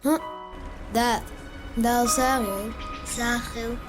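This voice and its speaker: A woman voicing a quiet female character in a somber animated drama, giving brief replies. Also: fairly high pitch, extremely slow. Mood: subdued. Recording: voice under loud music.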